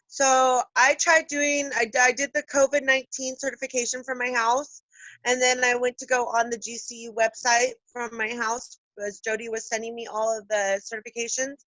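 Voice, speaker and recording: A woman's voice: fairly high pitch, flat delivery, normal speed, bright, forceful tone, no room sound, poor audio. A woman employee in a training video call, talking casually and anecdotally. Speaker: salon employee attending training.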